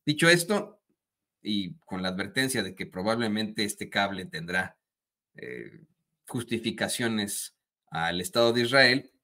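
A male journalist in his forties delivers news commentary in a low-pitched but very bright, forceful voice. He is emphatic and denouncing, repeating words for stress as he presents reports from a small indoor studio. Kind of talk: opinionated news commentary, partly reading a wire report.

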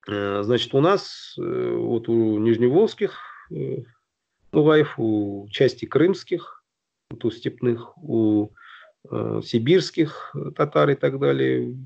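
Gravelly man on a muffled video call. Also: mid-range voice, dark tone. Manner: halting, many fillers and restarts, explanatory. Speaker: guest on a video-call round table. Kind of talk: casual discussion. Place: indoor room at home. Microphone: video call, phone or webcam close to the face. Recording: dull lo-fi video call audio.

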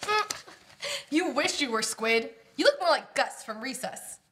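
A woman's voice: sits high, very animated, measured pace, background noise, voice pushed down. A young woman reading the part of a goofy, blunt, teasing sister in a comedy script, with playful exaggeration. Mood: goofy, mocking. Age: young adult. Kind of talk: comedy table read.

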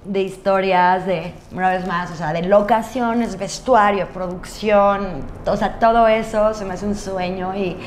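A Mexican woman in her thirties chatting casually as an interview guest, informal, with drawn-out words and pauses. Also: medium pitch, steady tone. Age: 30s. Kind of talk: casual chat. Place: indoor studio set at a desk. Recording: roomy sound, noticeable background noise.

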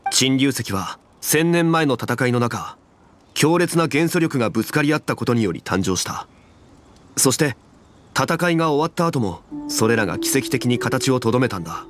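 An actor playing a composed, dignified, knowledgeable man in an anime game, speaking formally and deliberately. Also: mid-range voice, lively intonation, soft background music, close to an everyday voice. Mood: measured, explanatory.

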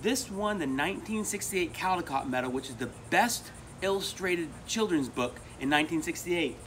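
A middle-aged American man with an expressive, emphatic delivery, presenting and reading a children's picture book aloud. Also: a little high, moderate pace. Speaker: volunteer storybook reader. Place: outdoors among palm trees. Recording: outdoor recording with insect noise. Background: crickets and insects.

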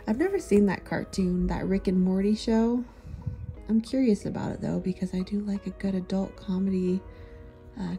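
A woman in her thirties narrating a thrift shopping trip in a chatty, relaxed, easily amused way. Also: medium pitch, vocal fry. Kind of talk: casual voice-over narration.

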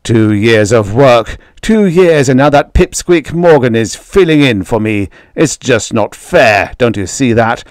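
A man playing a domineering father in a story, harsh, forceful and impatient. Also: medium pitch, expressive, measured pace, hardly any pauses, dry recording, studio quality, natural voice. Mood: bitter anger. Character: stern, angry, domineering father and robot maker.

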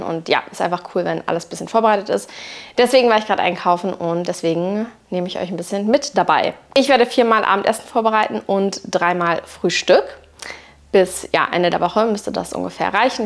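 A woman's voice: lowish voice, vocal fry. A woman, chatting casually and colloquially as she narrates a home vlog on a clip-on mic. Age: 20s. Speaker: vlogger. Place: indoor room at home. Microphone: clip-on wireless lapel mic.

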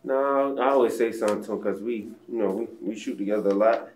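A man's voice: on the low side, fast, a little mellow. A young man, a basketball player, answering post-game questions in a laid-back, casual way with many fillers. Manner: laid-back, casual, lots of "you know". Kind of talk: post-game press conference. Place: press room backdrop, indoors.

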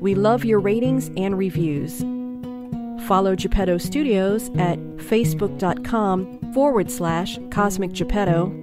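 A woman serves as narrator and announcer, reading from a script at a medium pitch. Guitar music plays clearly behind her. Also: a little slow.

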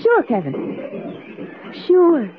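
An actress playing a stubborn, flippant young wife in a 1970s radio drama. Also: female voice, extremely high pitch, wildly swooping pitch, very slow, a crowd in the background, exaggerated voice. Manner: breezy, flippant. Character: sassy, stubborn, dismissive young wife on expedition. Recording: muffled, phone-like 1970s radio recording.

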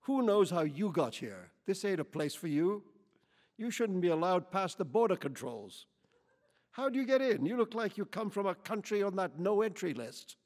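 A man's voice: a little high, animated, unhurried, in a large hall. A man reading aloud and voicing a hostile, accusing young American woman who confronts strangers at a campground, with drawling slang.

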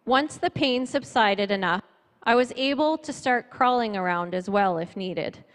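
Female church speaker, middle-aged, slightly high voice, earnest read-from-notes delivery, handheld mic with long room reverb. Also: measured pace. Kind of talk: sermon with personal testimony and scripture reading. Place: church stage before a seated audience, indoors. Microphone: handheld microphone. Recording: reverberant hall, amplified church sound.

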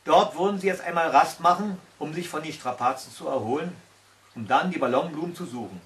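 An older man tells a fairy tale, reading it aloud. His voice sits a little high for a man, and he is recorded in a small room. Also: lively intonation, brisk. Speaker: storyteller. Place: small indoor room by a tiled stove.

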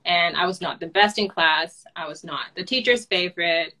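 A woman in her twenties from Hong Kong with a Hong Kong accent, telling her story softly as an interview guest on a webcam at home. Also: on the low side, normal speed. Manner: soft-spoken, light laughs, simple phrasing. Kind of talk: personal story in a video call. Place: home room, indoors. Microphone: video call webcam.

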